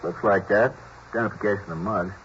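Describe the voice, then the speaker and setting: An actor playing a steady, businesslike police detective partner in a 1950s radio drama. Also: male voice, low voice. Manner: brisk, businesslike reporting. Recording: muffled old radio broadcast with hiss.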